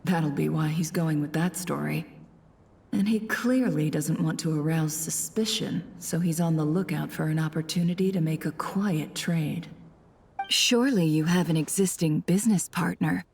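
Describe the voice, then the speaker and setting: A woman's voice: low-pitched, normal speed, vocal fry, darkish tone, breathy edge, heavily acted. A woman playing a shrewd, composed traveler in a video game, speaking politely and with calculation. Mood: calculating, then shrewd, businesslike. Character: shrewd woman traveler posing as antiques smuggler. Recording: music under the voice.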